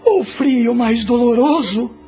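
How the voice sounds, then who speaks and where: A grieving old father in a radio soap. He speaks slowly and tearfully, worn down by sorrow, with music clearly playing behind him. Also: extremely high pitch, dark tone, exaggerated voice. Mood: grieving, weary. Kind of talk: radio soap opera acting.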